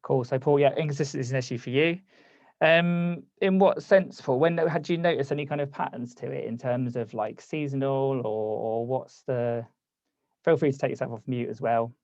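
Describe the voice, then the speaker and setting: Gravelly guy running a zoom workshop, losing his voice, dark tone, kinda dull dry sound. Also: mid-range voice, moderate pace, dry recording. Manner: casual, conversational, reads chat aloud. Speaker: workshop host. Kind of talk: live online workshop, coaching. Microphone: video call.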